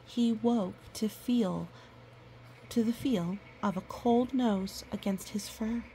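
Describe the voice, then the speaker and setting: A woman reading a picture book aloud to a young child, animated and repeating words for emphasis, with explanatory asides. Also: a little high, slow, slight background noise, poor audio.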